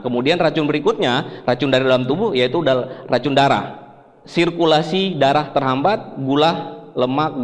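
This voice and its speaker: A man from Sulawesi, Indonesia, giving a health seminar in an explanatory, conversational way, putting questions to his listeners. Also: a little high. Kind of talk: presentation to an audience. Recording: echoey hall with long reverb.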